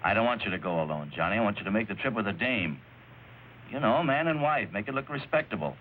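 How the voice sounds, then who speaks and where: An actor playing a cool, commanding middle-aged crime boss in a hard-boiled 1940s film noir style. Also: male voice, on the low side, expressive, fast talker, lightly acted. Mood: cool, businesslike. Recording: noisy, dull old film soundtrack.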